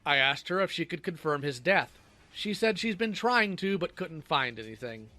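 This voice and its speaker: A man's voice: fairly high pitch, animated, moderate pace, sharp tone, dry recording. A man's synthetic robot voice reading a scripted, sardonic narration. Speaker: video creator responding to allegations.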